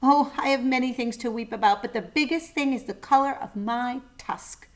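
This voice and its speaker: A woman's voice: moderate pace, natural voice. A woman voicing a grumpy, self-pitying old male rhinoceros in a storybook. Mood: mournful. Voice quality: deep, angry voice. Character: angry, weepy old male rhinoceros, vain about his tusk.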